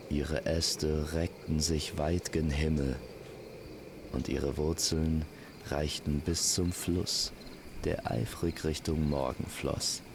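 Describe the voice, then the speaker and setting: A man telling a scripted fantasy story as an immersive campfire narrator, speaking gently and poetically to the listener. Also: extremely low pitch, background noise. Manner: slow, gentle, poetic delivery. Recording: constant night insect and nature ambience. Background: crickets and forest night sounds.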